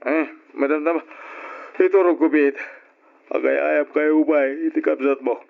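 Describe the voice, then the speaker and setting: A man playing an irresponsible, hard-drinking husband and father in an Ilocano radio drama, full of sheepish drawn-out excuses and interjections. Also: a little high, fairly even intonation, gravelly. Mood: dismissive. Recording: muffled lo-fi old radio recording.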